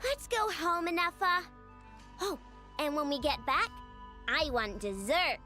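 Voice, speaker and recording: A feisty young inventor girl from a video game, with a very high voice that swings animatedly. She takes her time over her words and sounds bold and cheeky, with faint music behind her. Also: lively intonation, measured pace, bright, forceful tone, heavily acted.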